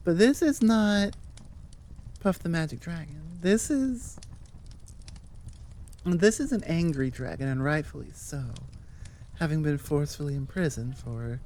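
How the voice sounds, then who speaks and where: A woman's voice: deep voice, measured pace, long pauses, mellow. A woman voice actor and channel host chatting casually and off the cuff to her listeners, rambling and enthusiastic. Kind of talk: casual unscripted chat to listeners.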